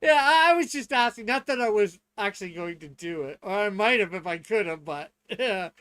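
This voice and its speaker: A man in casual stream talk, sometimes reading rules aloud, hesitant and halting as he thinks out loud. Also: high-pitched, lively intonation, clear, resonant tone. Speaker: streamer and tabletop player.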